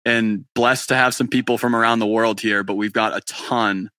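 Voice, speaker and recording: A man's voice: lowish voice, steady tone, normal speed, pushed and bright, no echo, studio quality. A man from New England hosting a podcast interview, talking in a chummy, upbeat, loose way. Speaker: podcast host. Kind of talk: casual live-stream interview chat.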